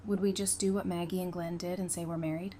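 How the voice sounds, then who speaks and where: A woman acting an affectionate, gently teasing girlfriend in an audio drama, in a casual conversational manner. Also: lowish voice, level tone, quick pace, close to an everyday voice. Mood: surprised, thoughtful. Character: affectionate, teasing girlfriend in a post-apocalyptic romance. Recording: noticeable background noise.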